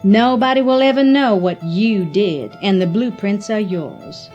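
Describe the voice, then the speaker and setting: A woman's voice: mid-range voice, expressive, a little slow, darkish tone, lo-fi sound, soft background music, lightly acted. A woman playing a defiant, calculating oilwoman and blackmailer in an audio drama. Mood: smugly triumphant.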